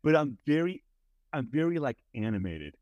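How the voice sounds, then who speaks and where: This is a man chatting casually on a podcast, expressive and animated, with a big voice that sits at an ordinary middle pitch. He restarts his sentences and keeps checking in with his co-host. The sound is dry and close, with no room in it. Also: normal speed, no echo, dull audio. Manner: many restarts and repeated "right?".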